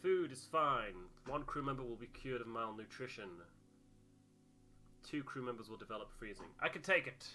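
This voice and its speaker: A man's voice: medium pitch, animated, normal speed, bright, forceful tone, soft background music. A man hosting a game playthrough, casually muttering his reactions and decisions as he plays. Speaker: gaming host, player.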